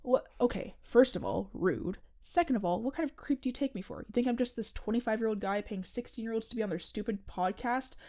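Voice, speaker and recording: A woman's voice: on the high side, brisk, hardly any pauses, mellow, close to an everyday voice. Woman playing a chatty, earnest teenage boy. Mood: offended. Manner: casual, rambling, insistent. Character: chatty, earnest seventeen-year-old male podcaster, easily offended. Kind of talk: audio fiction podcast acting, conversational.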